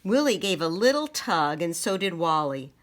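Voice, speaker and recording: A woman reading a children's picture book aloud in a dramatic, deliberate storytelling manner. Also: lowish voice, very animated.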